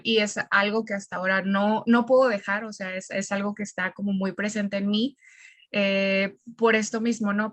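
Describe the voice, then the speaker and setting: A woman with a Mexican accent, a language teacher explaining things reflectively in a conversational interview, pausing as she searches for words. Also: medium pitch, fairly bright tone, no echo.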